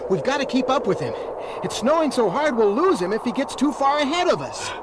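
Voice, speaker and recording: A man's voice: extremely high pitch, big pitch swings, fairly quick. An actor playing an earnest, decisive young male leader of a mystery gang in a children's cartoon audio drama. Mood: take-charge. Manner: decisive, urgent, clearly projected. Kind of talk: 1970s children's record cartoon acting. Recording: noisy old vinyl album transfer.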